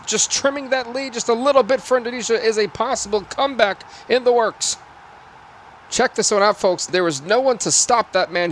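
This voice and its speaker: A man with a raspy voice doing live football game commentary and stream chat in sportscaster phrasing. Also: sits high, fairly bright tone, a little hiss or hum.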